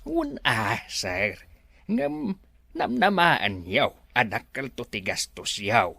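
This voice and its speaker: Deferential, singsong older helper. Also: male voice, mid-range voice, sing-song, slow pace, vocal fry, sharp tone, no echo, full character voice. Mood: eager, cautious. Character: deferential, hesitant older male resort helper. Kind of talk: Ilocano radio drama acting.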